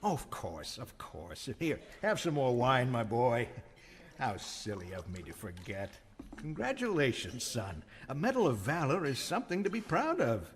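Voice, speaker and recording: An actor playing a pompous, indulgent older imperial governor in an audio drama, avuncular and blustering in manner. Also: male voice, mid-range voice, huge pitch swings, a little slow, big-room sound. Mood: flustered, prying.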